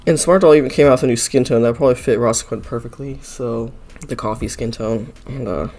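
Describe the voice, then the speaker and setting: A man talking in a loose, rambling voice-over, hoarse and gravelly after being sick, a little stuffy, full of "like" and "uh" and quick apologies. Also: mid-range voice, darkish tone. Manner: rambling, with many fillers like "like" and "uh", apologetic. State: hoarse, gravelly voice from a recent illness; slightly stuffy nose. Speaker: art channel creator. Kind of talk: casual voice-over chat. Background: faint background music.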